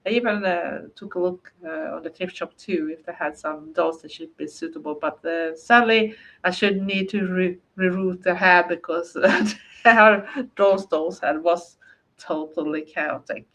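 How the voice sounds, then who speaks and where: A woman's voice: lowish voice, lively intonation, a little slow, creaky, fairly bright tone, rough recording. A woman from Sweden with a Swedish accent, chatting casually on a livestream, speaking English as a second language and rambling with frequent hesitations. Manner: rambling, hesitant, many fillers and restarts. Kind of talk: casual livestream chat, answering viewer comments.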